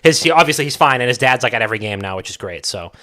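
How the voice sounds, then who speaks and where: Bright-voiced man chatting casually and profanely. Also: medium pitch, animated, very fast. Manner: profane, slangy, bro-like informal talk. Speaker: podcast co-host. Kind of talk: casual chat on a gaming podcast.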